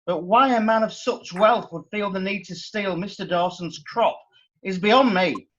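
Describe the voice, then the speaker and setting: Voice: medium pitch, a little slow, close to an everyday voice. A wry magistrate, as an actor plays him in a radio play, impatient and colloquial as he presides. The sound is a little dull and lo-fi. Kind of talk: radio drama acting.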